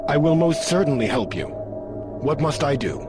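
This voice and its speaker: A man's voice: a little high, sing-song, moderate pace, over loud music. A man voicing an earnest, polite heroic warrior on a quest in a video game cutscene. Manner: formal, courteous, earnest.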